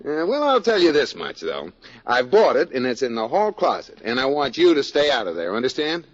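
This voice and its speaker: Teasing young husband: absent-minded, lively intonation, 1940s sitcom style, muffled radio recording. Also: male voice, mid-range voice, brisk. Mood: firm, affectionate.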